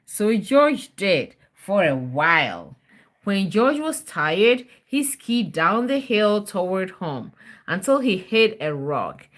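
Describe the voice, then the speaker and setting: A woman's voice: mid-range voice, very animated, takes it slowly. A mother reading a children's storybook aloud on a livestream, chatting with viewers and encouraging her child with prompts and questions.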